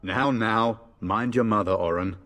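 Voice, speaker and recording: A man's voice: on the low side, unhurried, a bit bright, in a large hall. A man playing a jaunty, teasing young noble soldier in a video game. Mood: reassuring.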